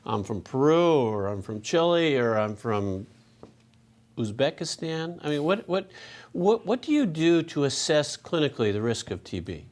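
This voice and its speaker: Male moderator presenting a clinical case, measured, hesitant pacing. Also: fairly high pitch, very animated, a little mellow. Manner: measured, many fillers. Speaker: panel moderator. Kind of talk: case presentation to a medical panel.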